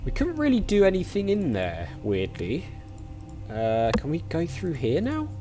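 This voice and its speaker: A man's voice: on the high side, wildly swooping pitch, unhurried, over music. A man giving casual let's-play commentary as a channel host, chatting and thinking aloud in questions as he plays. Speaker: video game player and channel host.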